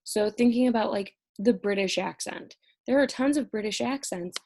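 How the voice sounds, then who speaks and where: A woman's voice: mid-range voice, moderate pace, darkish tone. A young woman recording an exam review lecture at home, explaining each topic conversationally while sounding stressed and short on sleep. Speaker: college instructor.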